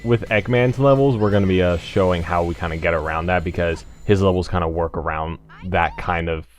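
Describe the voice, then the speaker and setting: A man giving casual video game commentary, explaining things in a hesitant, measured way. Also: low voice. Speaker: host of a group video game playthrough. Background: video game music.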